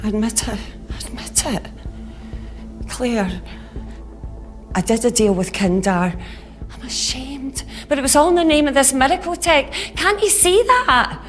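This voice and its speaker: An actress playing a scheming, manipulative executive who turns defensive under pressure, in film drama style. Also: female voice, high-pitched, measured pace. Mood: confessing, pleading. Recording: prominent music behind the dialogue.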